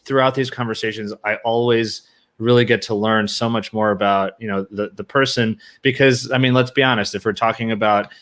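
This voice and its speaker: A man's voice: lowish voice, steady tone, fairly quick, fairly bright tone. A man from the United States hosting an interview show, asking long, rambling, friendly questions. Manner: rambling, many fillers and restarts, friendly. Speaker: podcast host. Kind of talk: casual interview conversation.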